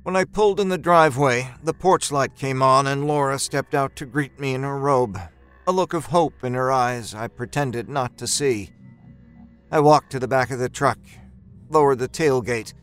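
A man reading a first-person horror story as a storyteller, in a measured, expressive and dramatic way. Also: mid-range voice, clean tone, faint music behind. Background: musical score.

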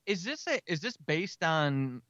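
Male podcast guest: curious, conversational, very expressive pitch, slightly dull dry recording. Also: measured pace, no echo. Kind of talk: casual chat. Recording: dull, band-limited audio.

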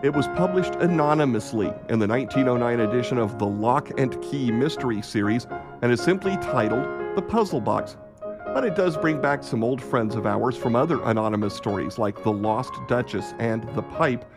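Male radio theatre host over loud music. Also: medium pitch, animated, normal speed. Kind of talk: scripted radio drama introduction. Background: loud music under the voice.

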